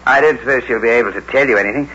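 An actor playing a suave, dismissive pub landlord with an urbane, condescending manner in an old-time radio drama. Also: male voice, medium pitch, racing, bright, forceful tone, slightly rough. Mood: polite, guarded. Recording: dull, noisy vintage radio recording.

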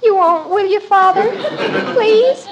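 A woman's voice: extremely high pitch, takes it slowly, muffled recording. A woman playing a stubborn, dreamy seventeen-year-old daughter in a 1950s radio comedy, earnest and dramatic. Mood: pleading. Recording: faintly noisy old radio recording.

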